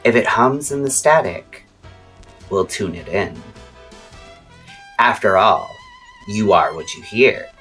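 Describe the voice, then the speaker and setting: A woman performs a mysterious radio host with an extremely low, husky voice. The delivery is slow, cryptic and theatrical, like an eerie scripted late-night broadcast, with music playing underneath. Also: expressive, a bit bright, heavily acted. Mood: welcoming, theatrical.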